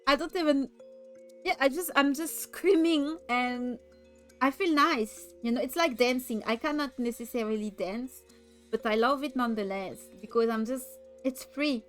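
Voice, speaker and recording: French-accented woman streamer, high voice, slow and giggly chat, faint music behind. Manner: giggly, laughs often, stumbles and repeats words. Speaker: VTuber streamer.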